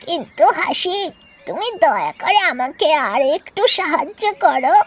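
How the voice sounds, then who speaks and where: A woman's voice: sits high, very animated, measured pace, a bit bright, heavily acted. A woman voicing a touchy, scheming mother crow in a cartoon, with a wheedling, cawing delivery. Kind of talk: cartoon voice acting.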